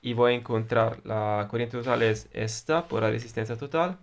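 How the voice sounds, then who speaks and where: A man with an Italian accent, a native Italian speaker, explaining electronics in a deliberate, halting way with frequent fillers. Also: medium pitch. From Italy. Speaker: electronics tutor. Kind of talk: explaining circuits at a whiteboard.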